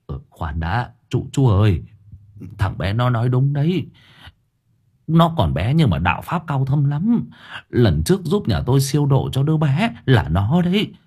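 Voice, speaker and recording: Man playing an anxious villager, husky. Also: medium pitch, big pitch swings, normal speed, a little mellow. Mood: anxious, persuading. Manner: hesitant, placating, repeating himself. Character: anxious village man earnestly vouching for a boy.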